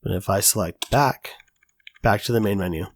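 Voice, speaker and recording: A man recovering from an illness, his voice raspy, talking casually through a coding tutorial with lots of filler words. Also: on the low side, normal speed, no echo. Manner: casual, chatty, many fillers. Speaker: tutorial host. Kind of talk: screen-recorded coding tutorial.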